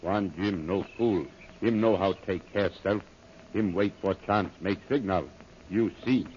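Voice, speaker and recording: An actor playing a stolid, loyal native guide and bodyguard who speaks terse broken English, in 1930s radio style. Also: male voice, low voice, a little slow, darkish tone. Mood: stolid, reassuring. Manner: broken pidgin English, terse clipped phrases. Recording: dull lo-fi old radio, faint noise.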